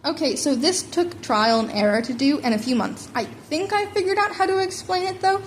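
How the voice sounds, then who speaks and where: Woman playing eager schoolboy, sweet, rambling, excitable, raised pitch, storybook reading, background noise. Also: normal speed.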